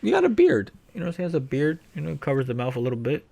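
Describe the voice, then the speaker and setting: A man's voice: on the high side, wildly swooping pitch, very fast, gravelly, warm tone. A man co-hosting a film review podcast in casual, blunt, slangy conversation. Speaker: podcast co-host reviewing films. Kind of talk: casual chat.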